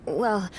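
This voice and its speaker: A woman's voice: fairly high pitch, slight background noise. A woman voicing a proud, reserved noble knight who speaks formally with dry humor, in a game cutscene.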